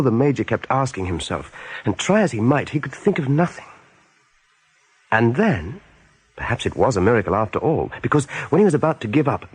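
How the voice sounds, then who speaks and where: A man's voice: mid-range voice, slightly rough, no room sound. A man narrating a radio play story expressively and with suspense.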